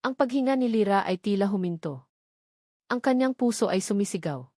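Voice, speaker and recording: Filipina lady narrating a romance drama, medium pitch, measured n dramatic w pauses, super dry audio. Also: expressive, mellow, dry recording.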